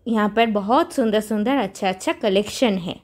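A woman vlogger with an Indian accent talks casually to her viewers. Her voice sits at an average pitch for a woman. She is chatty and addresses the audience directly as she narrates. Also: moderate pace, a little mellow. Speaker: vlog host.

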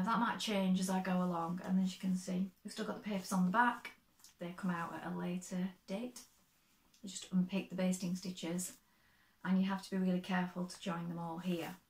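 Woman, 50s, crafting vlogger; slightly croaky, chatty explainer, roomy echo, fixed desk camera. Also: mid-range voice. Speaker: sewing vlogger. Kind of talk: casual show-and-tell. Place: bright sewing room, indoors.

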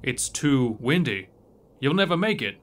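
A man's voice: medium pitch, very animated, unhurried, fairly bright tone. An actor playing a practical, cautious man taking charge in a horror audio drama. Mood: grave, practical.

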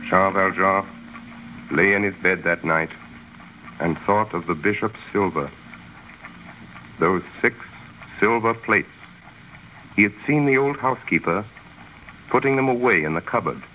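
An actor narrating a 1930s radio drama as a grave storyteller, solemn, deliberate and theatrical. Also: male voice, deep voice. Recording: dull, noisy 1930s radio recording.

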